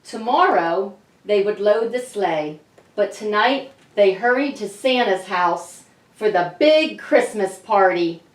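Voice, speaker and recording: A woman author reading a storybook aloud for children, speaking deliberately and addressing young listeners directly. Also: medium pitch, expressive. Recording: echoey room, slightly distant mic.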